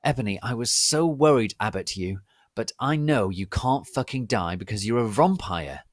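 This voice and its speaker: A man's voice: on the low side, lively intonation, moderate pace, no echo, clean studio sound, voice pushed up. Man reading as a worried witch professor.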